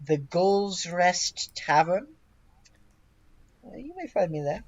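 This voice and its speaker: A game master narrates a tabletop session off the cuff. The delivery is halting and hesitant, with a mid-range pitch. The recording is dull and a little lo-fi. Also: very animated.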